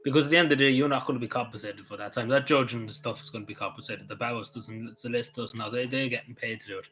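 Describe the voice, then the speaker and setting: A man's voice: mid-range voice, fairly even intonation, very fast, fairly bright tone. An Irish man chatting casually on a livestream, answering his viewers in a rambling way, full of "you know" and restarts. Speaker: YouTuber answering live chat.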